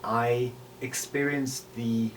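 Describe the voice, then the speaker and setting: A South African man in his forties reflecting on personal experience in a halting way, with repeated words and pauses. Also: medium pitch, steady tone. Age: 40s. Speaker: podcaster and voice actor. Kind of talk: personal reflection, interview-style talk. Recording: distant mic with faint background noise.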